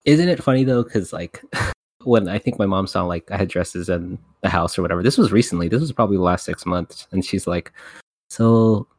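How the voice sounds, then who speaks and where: A man hosting a casual podcast, animated and quick to laugh as he talks. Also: low-pitched. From United States. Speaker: podcast host.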